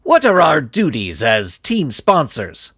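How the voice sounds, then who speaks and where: A man's voice: fairly high pitch, wildly swooping pitch, fairly bright tone, slightly rough, no echo, clean studio sound, heavily acted. A man putting on a pompous theatrical supervillain. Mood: officious. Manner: pompous, measured, grandiose. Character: pompous male supervillain, self-proclaimed genius, scheming.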